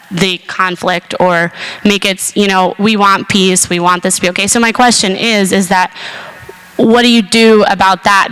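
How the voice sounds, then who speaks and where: A woman's voice: on the low side, a bit bright, smooth, low-quality recording. A young woman from New York asking a question casually, with many fillers. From grew up in New York. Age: young adult. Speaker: audience member.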